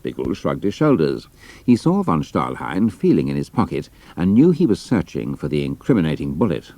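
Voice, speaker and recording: Deep, gravelly British male narrator. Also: huge pitch swings, normal speed, mellow, dry recording. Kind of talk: scripted adventure audiobook narration.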